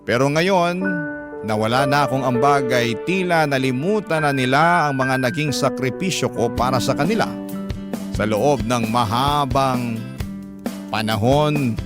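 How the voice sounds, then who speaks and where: A man's voice: medium pitch, measured pace, over loud music, lightly acted. A man playing a sorrowful, troubled Filipino father, narrating his story for radio drama in a reflective, earnest, plaintive way. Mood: hurt, plaintive.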